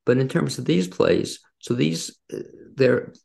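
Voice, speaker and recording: Gravelly-voiced man hosting an interview. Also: medium pitch, measured pace, a little mellow. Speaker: interviewer and host. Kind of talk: casual conversational interview.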